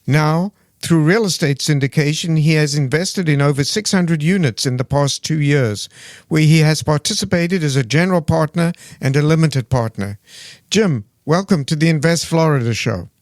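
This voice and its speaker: A man's voice: a little high, normal speed, dry recording, clean studio sound. A man co-hosting a podcast interview, upbeat, reading a polished guest introduction. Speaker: podcast co-host.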